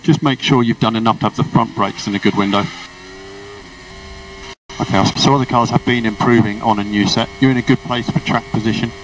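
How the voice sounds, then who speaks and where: A man's voice: medium pitch, fairly even intonation, fairly quick. A man working as a race engineer, giving clipped, procedural instructions over a motorsport team radio. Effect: team radio transmission. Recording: noisy distant radio, car and engine noise.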